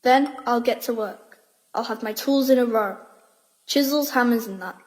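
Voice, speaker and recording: A woman's voice: on the high side, moderate pace, close to an everyday voice. An actress playing a plucky, determined schoolgirl in an audio drama, speaking in a matter-of-fact, decisive way. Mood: dreamy, determined. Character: plucky, determined schoolgirl who dreams of sculpting.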